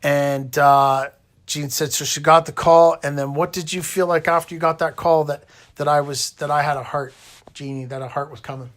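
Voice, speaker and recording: A man's voice: fairly high pitch, steady tone, normal speed, fairly bright tone. A middle-aged man with a Canadian accent, talking casually and colloquially in a rambling storytelling way as he hosts. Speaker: interviewer and host recounting own hospital stay.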